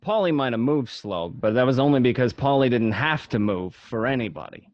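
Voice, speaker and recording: A man's voice: medium pitch, lively intonation, a little fast, darkish tone, dry recording, close to an everyday voice. Guy playing nostalgic gangster narrator in a radio play, matter of fact, slightly dull home recording, no room sound. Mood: nostalgic, proud. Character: male gangster looking back fondly on his youth. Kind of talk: amateur radio drama first-person narration.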